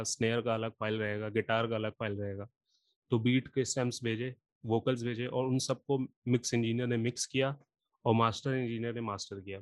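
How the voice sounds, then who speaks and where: A singer and rapper explaining patiently, step by step, in a casual podcast chat, with a sore throat from a cold. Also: male voice, medium pitch, dry recording.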